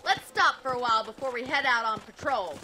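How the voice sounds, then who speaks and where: An actress voicing a spirited young frontier ranch woman in a video game, teasing, wry and brisk. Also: fairly high pitch, natural voice.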